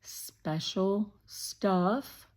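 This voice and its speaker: A woman's voice: mid-range voice, drawn-out words, a little mellow. A woman voicing a bookish, particular young boy in a storybook, grumbling and pointed, often drawing words out. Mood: irritated. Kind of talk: children's storybook read-aloud.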